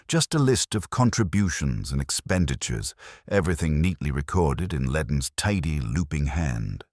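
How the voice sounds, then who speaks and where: A man reading a detective story as scripted dramatic narration, in a measured, literary way. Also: rumbling low, no echo, studio quality.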